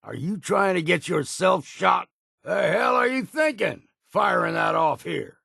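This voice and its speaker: Game companion: weathered old seaman, gruff and folksy, heavy gravel, unhurried delivery with plain rustic phrasing. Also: high voice, expressive, pushed and bright, dry recording, clean studio sound, exaggerated voice. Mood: stern disapproval. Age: older. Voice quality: gravelly, raspy. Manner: folksy, rustic turns of phrase, dropped grammar. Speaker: video game companion character. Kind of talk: video game voice lines.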